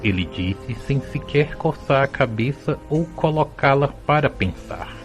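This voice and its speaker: A man's voice: mid-range voice, animated, unhurried. A man giving scripted storybook narration for an audio drama. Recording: music behind the voice.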